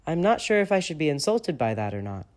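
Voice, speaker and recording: A man's voice: fairly high pitch, very animated, quick pace, voice pushed up. A man voicing a haughty, tipsy teenage boy wizard with a prim, indignant manner.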